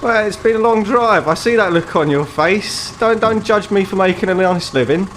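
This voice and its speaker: A man's voice: high voice, normal speed, fairly bright tone, a little hiss or hum, pitched above the performer's own voice. A man voicing a blunt, matey taxi driver who repeats himself and couldn't care less. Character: gruff, indifferent male taxi driver who doesn't judge.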